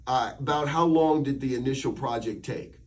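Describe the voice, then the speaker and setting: A man's voice: medium pitch, moderate pace, a little mellow, far from the mic. Man interviewing casually, slightly off-mic. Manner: rambling questions, affirming interjections. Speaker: radio interviewer. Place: radio interview.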